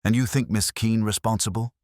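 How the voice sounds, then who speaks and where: A man's voice: on the low side, steady tone, fairly quick, a little mellow, dry recording, studio quality, voice pushed up, close to an everyday voice. A man voicing a pompous, brooding older detective who speaks in formal, clipped, coldly precise sentences in an audiobook story. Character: pompous, imperious older male genius detective, terse and brooding.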